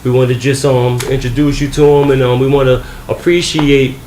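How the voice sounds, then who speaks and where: A man's voice: medium pitch, fairly even intonation, a little mellow, slight background noise. Earnest man hosting an interview, echoey. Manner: measured, filler-heavy, earnest. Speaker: interviewer, magazine publisher. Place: guest's home.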